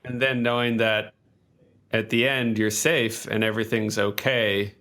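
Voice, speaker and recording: A man playing a tabletop game, talking casually and haltingly in character, peppering his questions with "like". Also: on the low side, fairly even intonation, pushed and bright. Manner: halting, frequent "like". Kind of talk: casual improvised in-character chat.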